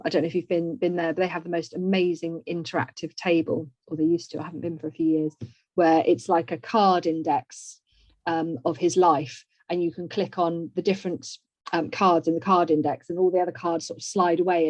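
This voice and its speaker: A woman's voice: lowish voice, darkish tone. A woman hosting and chatting in an online discussion, recorded over a video call. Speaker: webinar host. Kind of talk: conversational webinar discussion. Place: indoor room on a video call. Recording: muffled, echoey video call audio.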